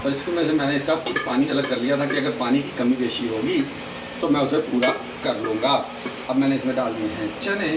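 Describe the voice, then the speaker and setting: Hoarse man chatting through a home cooking vlog. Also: medium pitch, a little fast. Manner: chatty, addresses viewers as brother. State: hoarse, sore throat and fever. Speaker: vlogger. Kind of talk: casual cooking vlog narration. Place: home kitchen. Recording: noisy home video.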